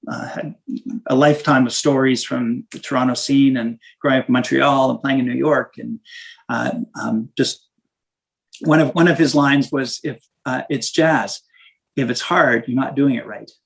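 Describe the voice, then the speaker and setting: A man who is a minister and saxophonist, talking in an interview in a halting way, restarting often as he searches for words. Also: mid-range voice, steady tone, gravelly, rough recording. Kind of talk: casual interview conversation.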